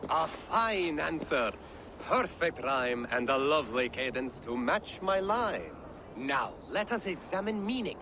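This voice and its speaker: A man's voice: on the high side, very animated, unhurried, noisy background. A man playing a jovial, witty Viking poet in a video game, theatrical and lilting. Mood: encouraging, instructive. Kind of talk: theatrical video game acting, declaiming rhymes.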